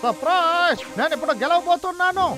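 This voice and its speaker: A high, theatrical cartoon hunter, full of bragging and schemes. The delivery is hot-tempered and exclamatory, like a self-styled hero, with music playing underneath. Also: fast. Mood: gloating. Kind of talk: animated cartoon dub acting.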